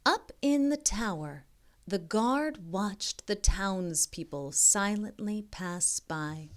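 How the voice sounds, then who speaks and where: A woman reading a picture book aloud to young children as a tutor, expressive and pausing to prompt the listener with questions. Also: mid-range voice.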